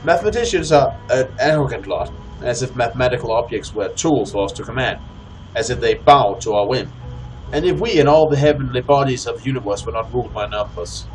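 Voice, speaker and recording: A man hosting a co-op gaming session, commenting casually and reading in-game text aloud with deliberate phrasing. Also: mid-range voice, poor audio. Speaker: host of a co-op let's play. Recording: clear background noise, game ambience.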